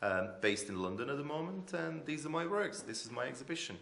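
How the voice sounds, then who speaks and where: A man's voice: a little high, very animated, fairly quick, gravelly, a bit bright, slight background noise. A man in his forties with a Croatian accent, answering interview questions hesitantly as he searches for words. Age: 40s. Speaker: artist being interviewed. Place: indoors in an art gallery room. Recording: echoey room.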